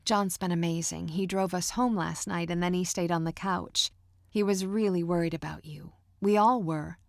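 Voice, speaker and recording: A woman voicing a middle-aged mother who talks in a soft, caring, doting way. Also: lowish voice, fairly even intonation, moderate pace, gravelly, no echo, studio quality.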